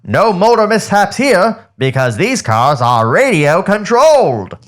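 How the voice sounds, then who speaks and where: Man putting on an excitable old-time cartoon announcer, swooping pitch. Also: unhurried. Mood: grand, excited. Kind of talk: 1940s newsreel-style announcing.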